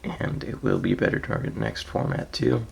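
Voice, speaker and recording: A man with a raspy, just-woke-up voice, casually walking through his card deck with frequent ums and soft trailing phrases. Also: on the low side, normal speed. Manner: laid-back, hesitant, frequent ums, trails off softly. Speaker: card game player presenting his deck.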